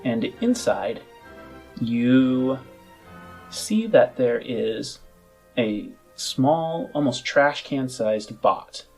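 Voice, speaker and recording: Male game master, unhurried and lowish, describing scenes in measured fragments over a music bed. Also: animated, darkish tone, slightly rough. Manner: halting, measured phrases. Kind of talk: improvised tabletop game narration.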